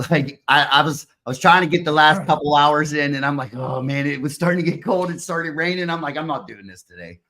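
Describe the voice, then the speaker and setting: Excitable, rambling podcast host man. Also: on the high side, fairly quick, few pauses. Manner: excitable, rambling, says "dude" and "bro". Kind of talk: casual chat. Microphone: video call.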